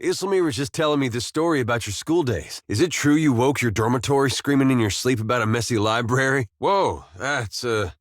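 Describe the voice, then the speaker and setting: An actor playing an easygoing, wry, war-weary farmer turned adventurer in a video game, talking in a folksy, understated way with short, plain phrases. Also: male voice, medium pitch, fairly quick, few pauses, dry recording, clean studio sound, close to an everyday voice. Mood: friendly, wry. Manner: folksy, laid-back, understated, drawling short clipped phrases. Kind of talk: video game companion dialogue.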